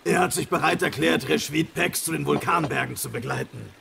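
An actor playing a courteous, guarded alien host in a sci-fi audio drama, with formal, polite phrasing. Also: male voice, a little high, brisk, vocal fry, noisy background.

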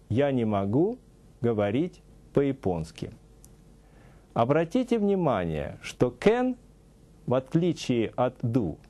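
A middle-aged man teaching a scripted TV language lesson, saying English example phrases slowly and deliberately, in a studio. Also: mid-range voice, warm tone. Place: TV studio with a news-desk set.

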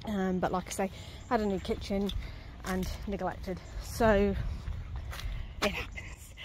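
A woman's voice: mid-range voice, fairly even intonation, slow pace, darkish tone, slight background noise, low-quality recording. A woman giving a casual, self-mocking tour of her allotment garden, recorded outdoors on a handheld phone. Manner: chatty, self-mocking, laughs easily. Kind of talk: casual walk-through chat. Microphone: handheld phone camera, speaker off-screen. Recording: faint outdoor ambience.

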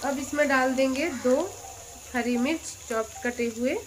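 A woman with a high, light voice talks through a recipe at her stove, chatty and patient as she explains each step. She is recorded in a home kitchen on a phone or camera. A faint noise floor sits under her voice, with frying and stirring sounds in the background. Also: steady tone, measured pace. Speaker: cooking channel host. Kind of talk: step-by-step cooking instructions.

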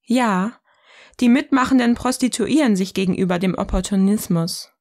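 Eine Frau spielt in einem Hörspiel eine provokante, ironische Gesprächspartnerin, die bedächtig und neckend philosophiert. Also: female voice, mid-range voice, normal speed, a little mellow, natural voice. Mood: ironic, provocative. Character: provocative, ironic woman sparring in philosophical dialogue. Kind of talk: scripted audio drama dialogue, philosophical banter.

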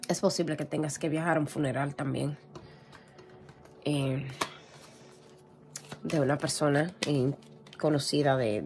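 Hoarse woman reading tarot cards. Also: low-pitched, background noise. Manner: gentle, clears throat often, many fillers. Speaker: tarot reader. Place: indoor room with a tarot table. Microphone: camera over table, hands seen.

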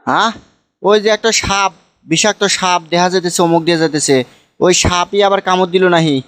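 A man's voice: high voice, steady tone, brisk, lightly acted. A man voicing a hardworking, lonely cartoon son, pleading and wounded, in a plaintive Bogura dialect of northern Bangladesh. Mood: pained. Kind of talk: amateur comedy cartoon dubbing. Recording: music behind the voice.

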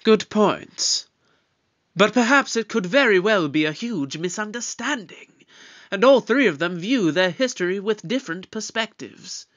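A man playing a shrewd, measured investigator in an audio drama, speaking formally and deliberately. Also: high voice, expressive, fairly bright tone, lo-fi sound. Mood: thoughtful. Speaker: investigator questioning suspects. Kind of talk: fan audio drama acting.

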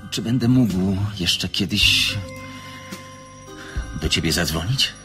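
A man's voice: sits low, expressive, slow pace, sharp tone, soft background music, full character voice. An actor playing a secretive, courteous man in a radio play, with a fine announcer's voice and an earnest, formal, hesitant manner. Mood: distraught, apologetic. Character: secretive man, courteous, evasive, apologetic, guarded about his work.

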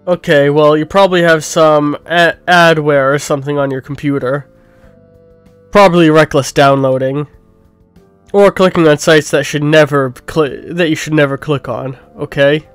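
A man voicing a calm, nerdy young male bear in a game playthrough, speaking lower and softer than his own voice. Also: normal speed, clean tone, no echo, faint music behind, close to an everyday voice. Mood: explaining.

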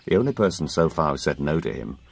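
A man's voice: rumbling low, big pitch swings, fast, gravelly, a little mellow, a little hiss or hum, exaggerated voice. An actor playing a knowing, wry horse-racing man, conversational in a radio drama. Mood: amused.

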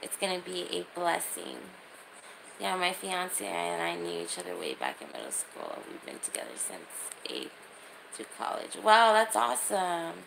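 A woman's voice: on the low side, wildly swooping pitch, normal speed, creaky, background noise. A woman in her thirties chatting casually and informally as she hosts a livestream from a small room, holding her phone at arm's length. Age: 30s. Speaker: livestream host. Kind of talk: casual livestream chat, reading and answering comments.

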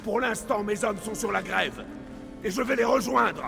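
An actor playing a proud, hot-headed Spartan commander in a video game, with a blunt, impatient martial manner. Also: male voice, high voice, moderate pace, gravelly, in a large hall. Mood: angry, defiant. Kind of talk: video game voice acting in French. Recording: game dialogue over loud music.

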